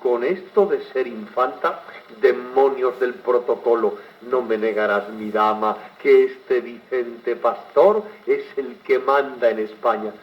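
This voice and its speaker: A man's voice: mid-range voice, takes it slowly, dull audio, natural voice. A man voicing a spirited, wistful Spanish princess in a dramatic verse recital, with an accent from Spain, on an old gramophone record. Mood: brisk, chatty.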